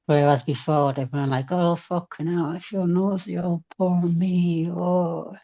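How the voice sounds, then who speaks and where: The voice is creaky, with a steady fry running through it. It speaks in a candid, reflective, conversational way, as in a casual chat. The recording is slightly dull and lo-fi. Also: medium pitch, a little slow. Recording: dull, lo-fi sound.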